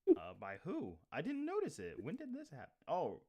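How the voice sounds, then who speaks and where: A man's voice: fairly high pitch, huge pitch swings, moderate pace, a little mellow. A man hosting a casual live stream, talking in a rambling way with lots of restarts and fillers. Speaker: livestream host. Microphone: computer mic with webcam.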